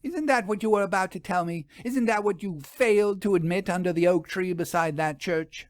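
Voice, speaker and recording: A man voicing a bossy, excitable female ghost investigator in an audiobook story, blunt, scolding and emphatic. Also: high voice, normal speed, dry recording, studio quality, voice pushed up, close to an everyday voice. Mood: agitated, pleading.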